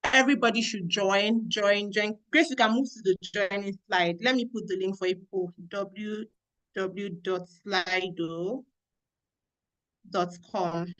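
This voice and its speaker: A woman from Nigeria with a Nigerian English accent, moderating an online training session in a lively, exclamatory coaching manner over a video call. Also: mid-range voice, a little slow, rough recording.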